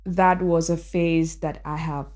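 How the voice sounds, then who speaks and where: A young woman, a spoken word poet chatting as a guest in a podcast interview, animated and full of "you know" and "like". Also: low-pitched, takes it slowly. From Nigeria. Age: young adult. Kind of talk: casual interview chat. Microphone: studio dynamic mic.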